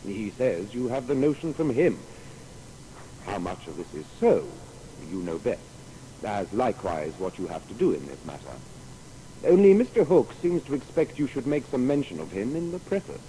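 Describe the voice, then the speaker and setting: An earnest gentleman of science from centuries past, acted by a man, courteous and formal in his archaic phrasing. The voice is mellow and very expressive, in a radio drama with slight noise. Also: medium pitch, normal speed, close to an everyday voice, low-quality recording. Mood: grave, tactful. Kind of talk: period radio drama acting.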